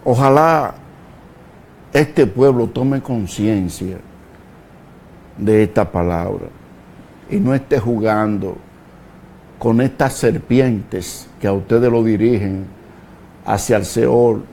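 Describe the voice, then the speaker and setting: A man preaching a fiery sermon, slow and deliberate, breaking into sudden loud shouted peaks. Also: lowish voice, a little hiss or hum.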